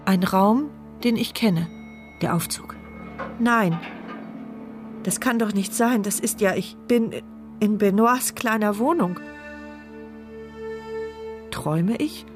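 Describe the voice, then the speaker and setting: Woman acting scared trapped lady thinking out loud, broken sentences, radio crime play, music behind. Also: medium pitch, slow pace, long pauses, lightly acted. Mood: dread, horror. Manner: tense, fragmented first-person present-tense narration. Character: wheelchair-bound woman narrator, trapped, terrified, silent inner voice. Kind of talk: German radio thriller inner monologue.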